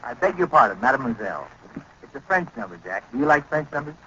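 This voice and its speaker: A man's voice: mid-range voice, big pitch swings, fast, sharp tone. A man, an orchestra leader, trading banter on a 1930s radio show. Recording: muffled, noisy 1930s radio recording.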